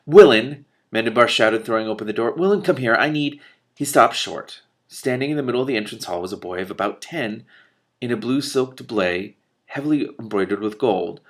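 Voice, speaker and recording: A man reading a fantasy novel aloud, raising his voice for the characters' lines and breaking off for quick, lively comments and laughs. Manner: animated, laughs and swears in asides, quick mutters between passages; rises in pitch and volume for character lines. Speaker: reader and commentator.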